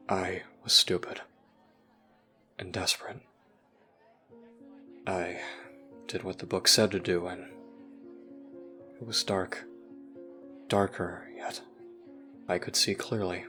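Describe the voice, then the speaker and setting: Man acting a troubled teenager, very low halting voice. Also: sharp tone, exaggerated voice. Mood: hushed, regretful. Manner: halting, confessional, trailing off. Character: troubled, self-blaming human teenager confiding in a friend. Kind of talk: audio roleplay voice acting.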